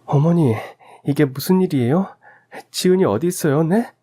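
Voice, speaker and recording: A man's voice: a little high, moderate pace, dark tone, pitched above the performer's own voice, lightly acted. A man voicing a distraught, accusing young mother with urgent, pleading exclamations in a radio drama. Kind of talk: Korean story radio drama acting.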